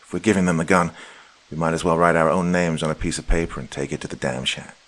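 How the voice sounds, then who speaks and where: Man voicing a cursing angry dude, low mutter. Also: steady tone, fast talker, fairly bright tone. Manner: swearing, muttering. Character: angry foul-mouthed young man.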